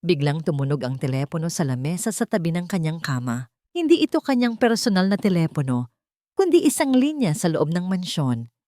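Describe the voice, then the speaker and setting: A woman's voice: lowish voice, very animated, fairly quick, warm tone, no echo, clean studio sound. A woman reading a scripted love story as narrator, in a solemn, melodramatic manner.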